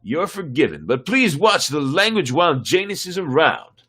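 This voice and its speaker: A man's voice: a little high, very animated, normal speed, pushed and bright, slightly rough, exaggerated voice. A man playing a stern, sardonic starship captain with a dry, commanding manner in a sci-fi story reading.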